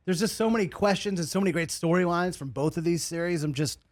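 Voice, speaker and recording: Excited man firing sports questions. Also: sits high, no echo, clean studio sound. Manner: excited, rapid-fire questions. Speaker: podcast co-host. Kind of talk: casual sports chat.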